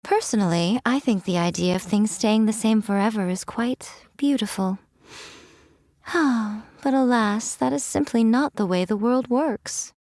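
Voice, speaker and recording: A woman's voice: fairly high pitch, measured pace, a little mellow, dry recording, studio quality. A woman voicing a sly, ageless fox spirit shrine maiden in a game, with a teasing, languid, theatrical and self-assured manner. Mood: wistful musing. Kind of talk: scripted game character voice lines.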